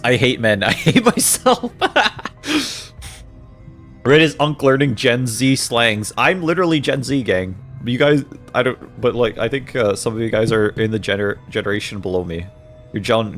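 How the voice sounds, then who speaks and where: A man's voice: mid-range voice, a bit bright. A man in his twenties streaming a game, joking with chat, reading messages aloud and laughing often. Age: 20s. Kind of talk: casual livestream chat while playing a game.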